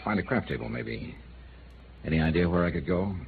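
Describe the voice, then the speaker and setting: An actor playing a cool, probing insurance investigator in a 1950s radio noir drama, asking dry, unhurried questions. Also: male voice, low-pitched, animated, creaky. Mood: casual probing. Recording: tinny, hissy old radio recording.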